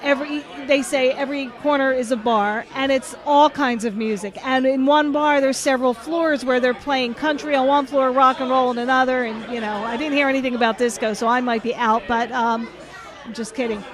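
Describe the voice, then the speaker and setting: A woman's voice: sits high, fairly even intonation, a little fast, some chatter behind. A middle-aged woman with a cold and a nasal voice, co-hosting a podcast in chatty, joking talk. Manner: chatty, joking, rambling with restarts. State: has a cold. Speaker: podcast co-host. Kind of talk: casual chat.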